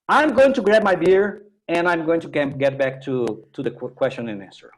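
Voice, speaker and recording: Man, Brazilian accent, native Portuguese speaker, slightly high voice, informal online tech presenter, halting, repetitive, tag questions. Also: a little hiss or hum, low-quality recording. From Minas Gerais, Brazil. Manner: halting, repetitive, with "right?" tags. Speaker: technical presenter and workshop instructor.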